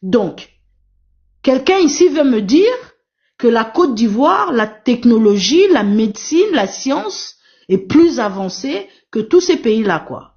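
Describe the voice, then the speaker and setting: A woman's voice: fairly high pitch, big pitch swings, slow pace, a little mellow. A woman whose first language is Portuguese, moderating a live panel and urging her listeners with emphatic, repetitive appeals. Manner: emphatic, repetitive, exhorting the audience. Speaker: live stream moderator and activist. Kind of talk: impassioned live panel talk. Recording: dull lo-fi live stream audio.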